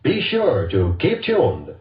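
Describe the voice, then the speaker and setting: A man's voice: mid-range voice, huge pitch swings, takes it slowly, distant mic. An American man announcing a scripted vintage radio network promo in a polished, formal delivery. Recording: dull, echoey vintage radio recording.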